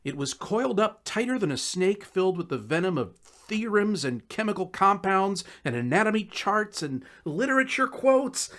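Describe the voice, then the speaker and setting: Animated man narrating a story. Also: high-pitched, moderate pace, hardly any pauses. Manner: wry, conversational, expressive. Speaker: audiobook narrator. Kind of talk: animated first-person storytelling, scripted narration.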